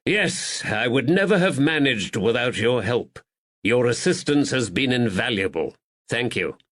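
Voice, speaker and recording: A polite detective from an audiobook, earnest and courteous. A male narrator gives him a voice close to his own. His speech is slow and lively in tone. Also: mid-range voice. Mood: grateful.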